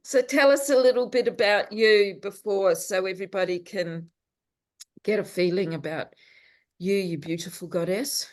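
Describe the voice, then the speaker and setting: A woman hosting a casual chat about astrology, speaking gently and with enthusiasm. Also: mid-range voice, normal speed. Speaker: host and astrologer. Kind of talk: casual conversation over video. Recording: roomy echo.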